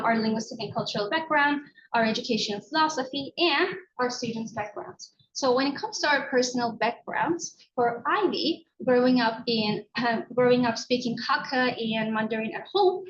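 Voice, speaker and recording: Woman giving a conference talk, bit high voice, lots of ums, dull lo-fi recording w faint hiss. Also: reverberant. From Turkey. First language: Turkish. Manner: hesitant, with frequent uh and um. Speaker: conference presenter. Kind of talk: academic conference presentation.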